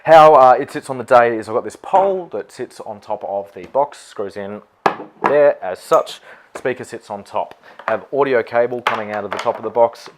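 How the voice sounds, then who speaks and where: A man's voice: medium pitch, expressive, normal speed, noisy background. A man with an Australian accent giving an unscripted, informal product explainer in a conversational way. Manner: informal, conversational, mildly sweary. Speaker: wedding celebrant presenting his gear.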